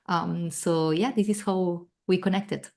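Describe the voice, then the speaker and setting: Spanish-accented woman, earnest and lowish. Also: steady tone, a little slow, creaky, a little mellow. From Spain. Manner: earnest, many fillers and restarts. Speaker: guest, travel coach. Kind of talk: casual interview chat.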